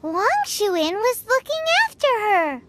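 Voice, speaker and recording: A woman voicing a small, bossy, chatty childlike fairy companion with a squeaky voice in a video game. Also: sing-song, a little slow, background noise, exaggerated voice. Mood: curious, excited. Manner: exclamatory, refers to self in third person. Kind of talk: video game cutscene acting. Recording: music playing behind the voice.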